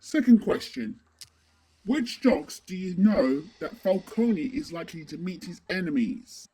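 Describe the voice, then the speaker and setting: Woman as a slow, dark-toned masked vigilante. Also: on the low side, lively intonation, breathy edge. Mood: demanding. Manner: curt, deliberate questioning. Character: terse, brooding masked female vigilante, demanding. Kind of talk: fan audio drama dialogue.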